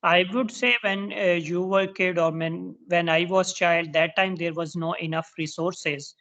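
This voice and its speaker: A woman's voice: lowish voice, fairly even intonation, unhurried, fairly bright tone. A woman with a Pakistani accent, a native Urdu speaker, talking plainly with some fillers as a guest in an online English chat. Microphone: video call.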